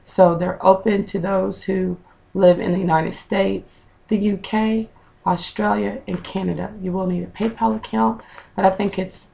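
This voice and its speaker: A woman in her thirties with a raspy voice, chatting to viewers in a casual home vlog, recorded on a webcam indoors. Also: mid-range voice, little pitch movement, unhurried, dark tone, low-quality recording. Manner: chatty, direct to viewers, with fillers. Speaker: vlogger. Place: small room at home, indoors.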